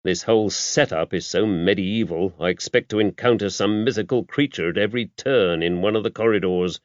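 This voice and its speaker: A man voicing a fastidious, wry investigator in audiobook dialogue, speaking in an emphatic, exclamatory way. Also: fairly low pitch, no echo, lightly acted. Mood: wry, incredulous. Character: Cotton, fastidious male investigator, incredulous and wry. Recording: dry, dull lo-fi audio.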